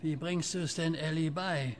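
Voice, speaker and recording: A man acting a grave, insistent older neighbour in a German radio drama, slow and urgent. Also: fairly high pitch, fairly even intonation, a little hiss or hum, natural voice. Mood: sombre. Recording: loud music and effects behind.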